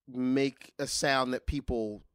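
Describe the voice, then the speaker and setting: A middle-aged man, a singer, talking casually in an interview, often restarting his sentences and growing animated when he recalls singing. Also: medium pitch, slow pace, clean tone, no echo, studio quality. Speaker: guest singer.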